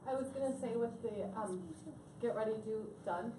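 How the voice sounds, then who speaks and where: A woman's voice: fairly high pitch, normal speed, reverberant, far from the mic, noisy background. A woman in a workshop sharing an example from her school work, rambling and correcting herself as she talks.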